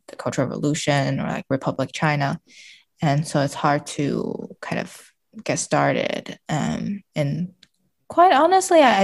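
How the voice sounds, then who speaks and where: A woman's voice: sits low, gravelly, darkish tone. A woman speaking as a podcast guest in a casual literary discussion, feeling hesitantly for her words.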